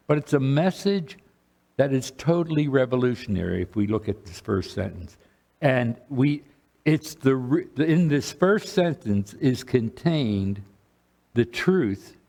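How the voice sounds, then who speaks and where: A man's voice: mid-range voice, gravelly, darkish tone. An older man, a bishop, preaching a conversational sermon, often restarting phrases and checking in with the congregation.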